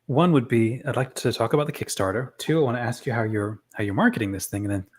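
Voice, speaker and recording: A woman interviewing in an informal, conversational way, attentive and a little halting as she frames her questions. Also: extremely low pitch. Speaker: podcast interviewer.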